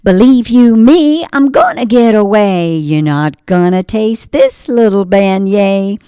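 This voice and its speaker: A woman's voice: a little high, very animated, drawn-out words, no room sound, clean studio sound, pitched above the performer's own voice, exaggerated voice. A woman voicing a tiny, cheeky living pastry boy who taunts in a sing-song way. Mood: taunting.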